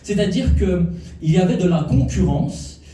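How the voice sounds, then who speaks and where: Playful stand-up comic with a high voice. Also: male voice, lively intonation, normal speed, warm tone. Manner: playful crowd work, teasing the audience. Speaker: stand-up comedian. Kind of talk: stand-up comedy routine. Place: comedy club stage before a live audience. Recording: echoey room, from far off.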